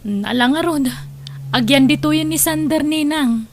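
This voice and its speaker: A woman's voice: high-pitched, moderate pace, no echo. A woman playing a kindly, practical restaurant boss in a radio drama, reassuring and questioning. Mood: agreeable. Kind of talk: Ilocano radio drama acting.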